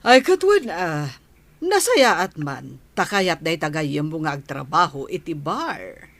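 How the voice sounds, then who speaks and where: A woman playing an unhurried, welcoming older bar boss in a scripted audio drama. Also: low-pitched, very animated, fairly bright tone, exaggerated voice. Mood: approving. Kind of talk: scripted radio-style drama.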